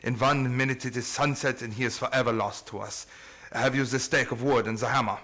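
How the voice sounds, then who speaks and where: A bright-voiced, solemn vampire hunter. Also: male voice, mid-range voice, steady tone, a little fast. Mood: urgent, commanding. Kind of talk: radio play acting.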